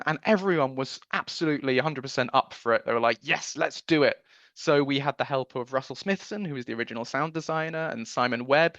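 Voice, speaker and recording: A man's voice: medium pitch, a bit bright, no room sound, dull audio. A man giving a conversational radio interview as a guest producer, speaking in an earnest, enthusiastic way.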